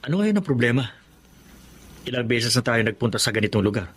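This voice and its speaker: A man's voice: mid-range voice, big pitch swings, fast, close to an everyday voice. Exasperated Filipino husband over noisy vehicle ambience male actor. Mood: evasive, coaxing. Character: exasperated, evasive philandering husband. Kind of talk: Filipino radio drama acting.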